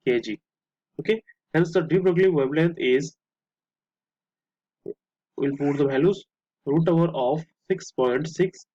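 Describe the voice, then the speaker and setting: A male tutor with an Indian accent and a husky, rough-edged voice explains a worked problem step by step. His delivery is halting, with repeated words and phrases. The recording is muffled and lo-fi, with noticeable room echo. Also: a little high, dark tone. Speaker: physics tutor. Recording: muffled lo-fi, echoey room sound.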